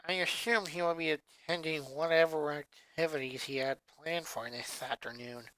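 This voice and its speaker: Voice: medium pitch, steady tone, moderate pace, a bit bright, no echo, close to an everyday voice. A fan reader voicing a condescending child genius who speaks formally and dryly. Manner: formal, clipped, dry. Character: pedantic genius little girl, condescending.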